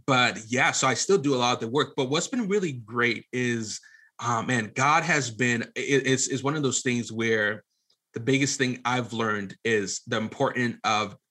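A man's voice: mid-range voice, moderate pace, sharp tone. A man speaking as an interview guest in a casual, colloquial, earnest and self-deprecating way. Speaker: podcast guest, audio drama producer and director.

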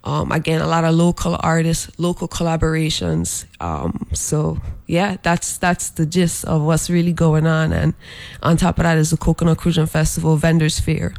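A man from Saint Croix, a fashion designer being interviewed, who talks in a halting way with many filler pauses. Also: fairly high pitch, expressive, vocal fry, a little mellow, no echo. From Saint Croix, US Virgin Islands. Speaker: guest, fashion brand designer. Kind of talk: interview promoting an event.

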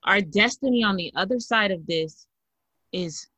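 A woman's voice: mid-range voice, normal speed, pushed and bright, breathy edge, no echo. A woman podcast host, affirming her guest and speaking with preacherly repetition. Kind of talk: casual chat.

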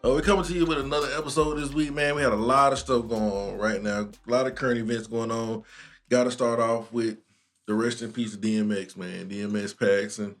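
A man hosting a casual podcast chat, talking in loose slang with a relaxed drawl. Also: medium pitch, fairly quick. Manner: laid-back, drawling slang, frequent laughs. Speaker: podcast host.

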